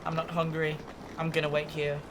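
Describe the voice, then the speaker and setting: A woman's voice: deep voice, monotone, brisk, lightly acted. A female performer playing a guarded young leader of street urchins in an audio drama, terse and a little wry. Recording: clear background noise under voice.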